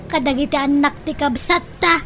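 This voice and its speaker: High-voiced, fussy Ilocano woman. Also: steady tone, normal speed, noisy background. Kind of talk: comedic radio drama acting.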